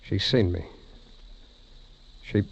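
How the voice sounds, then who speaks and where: An actor playing an earnest, anxious, deferential doctor's assistant in an old radio drama, speaking haltingly. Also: male voice. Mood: tense, horrified. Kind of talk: 1940s-50s radio drama acting. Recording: muffled old radio recording.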